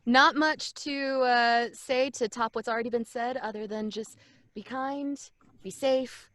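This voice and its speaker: A woman's voice: on the high side, fairly even intonation, measured pace, fairly bright tone, dry recording. A woman with a Texan accent, a voice actor chatting casually on a live stream in a friendly, folksy way over a video call mic. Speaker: voice actor guest.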